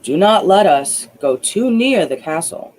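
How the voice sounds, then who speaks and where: A young man in love from a fairy tale, voiced by a woman. He sounds earnest, anxious and mournful. The delivery is dramatic, with lively swings of pitch. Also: a little slow, a little hiss or hum, low-quality recording. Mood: cautious.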